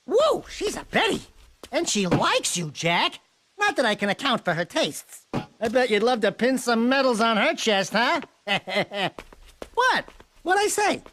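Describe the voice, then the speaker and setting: An actor voicing a small, cocky, cheeky furry sidekick in a video game, with brash joking delivery. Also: male voice, extremely high pitch, big pitch swings, unhurried, sharp tone, slight background noise, exaggerated voice. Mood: leering, cheeky. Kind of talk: animated video game cutscene acting.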